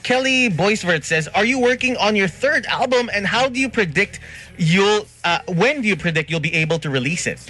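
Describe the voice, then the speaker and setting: A man's voice: sits high, lively intonation, normal speed, sharp tone. Upbeat man radio co-host. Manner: casual, upbeat, joking. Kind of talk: radio interview.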